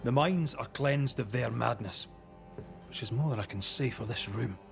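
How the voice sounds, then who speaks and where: An actor playing a stern, plain-spoken banisher of ghosts in a video game, blunt and commanding in manner. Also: male voice, mid-range voice, normal speed, creaky, over music, natural voice. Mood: blunt, accusing. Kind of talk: dramatic video game acting.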